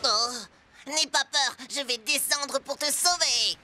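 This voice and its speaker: A woman's voice: sits high, takes it slowly, heavy background noise. A woman voicing a clumsy, eager, childlike vehicle in a children's cartoon, full of exclamations.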